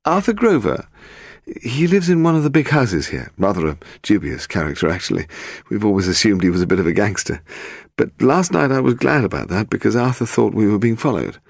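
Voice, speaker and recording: A man's voice: fairly low pitch, sing-song, fairly quick, vocal fry, no echo, clean studio sound, voice pushed up, full character voice. A man voicing a nervous, evasive publisher who speaks in a hesitant, jumpy way.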